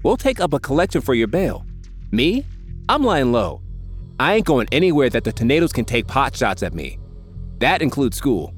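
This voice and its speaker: A man voicing a tough, wry teenage gang leader with a gruff, sarcastic delivery. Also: mid-range voice, very animated, moderate pace, faint music behind, voice pushed up, close to an everyday voice.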